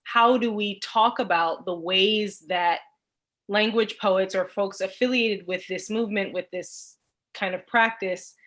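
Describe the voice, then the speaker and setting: A woman's voice: medium pitch, fairly even intonation, measured pace, a bit bright. A woman speaking as a panelist in an unscripted academic discussion, thoughtfully searching for her words. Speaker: literature course panelist and teacher.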